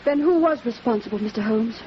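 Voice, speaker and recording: A woman's voice: a little high, fairly quick, lightly acted. An actress playing an earnest, emotional young lady in a 1940s radio drama. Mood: dismayed. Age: young adult. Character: Catherine, earnest, distressed young well-bred lady. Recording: muffled old radio recording with hum.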